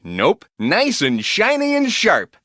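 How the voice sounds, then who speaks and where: A woman voicing a gruff, pushy older man who runs a nail factory in a video game. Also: mid-range voice, wildly swooping pitch, takes it slowly, fairly bright tone, dry recording, studio quality, heavily acted.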